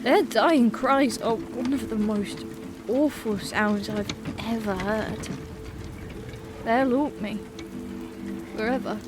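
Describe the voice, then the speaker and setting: A woman playing a frightened but plucky young female halfling prisoner in a fantasy audiobook, talking in a folksy, halting way. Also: a little high. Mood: haunted. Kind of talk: dramatized fantasy audiobook acting. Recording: music and rain sounds behind.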